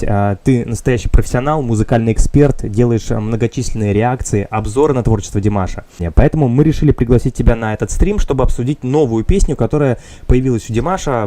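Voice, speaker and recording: A man hosting a live-stream interview, speaking formally and haltingly with many fillers. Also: mid-range voice, mellow, no room sound. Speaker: channel host.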